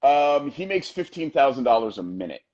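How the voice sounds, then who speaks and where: A man co-hosting a wrestling podcast in casual chat, emphatic and talking in quick bursts. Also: mid-range voice, big pitch swings, a little mellow, lo-fi sound. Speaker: podcast co-host.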